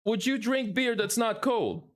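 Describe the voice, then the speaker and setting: A man's voice: very high voice, unhurried, fairly bright tone. A man of thirty from the Philippines, hosting a gaming podcast in a playful, joking way. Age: 30s. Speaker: podcast host. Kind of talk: casual gaming podcast chat.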